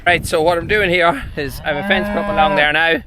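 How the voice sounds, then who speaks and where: A man's voice: fairly high pitch, fast. An Irish man, a farmer, casually explaining his work to camera in a down-to-earth, mildly sweary way. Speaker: farmer and farm vlogger. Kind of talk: casual vlog commentary while working. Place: outdoors in cattle fields. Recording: very noisy outdoor farm, cattle mooing.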